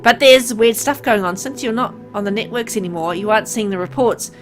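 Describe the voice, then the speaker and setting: A woman's voice: on the high side, a little fast, natural voice. Lady doing a caring stubborn young woman for a visual novel, affectionate and chatty, music behind. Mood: worried. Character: caring, stubborn young woman visiting her sick partner.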